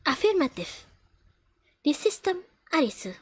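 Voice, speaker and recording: A woman voicing an amnesiac robot girl who speaks in stiff, halting system reports in a live anime game fandub. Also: high-pitched, pitched below the performer's own voice. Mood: blank, mechanical. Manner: flat, formal, halting machine-like phrasing.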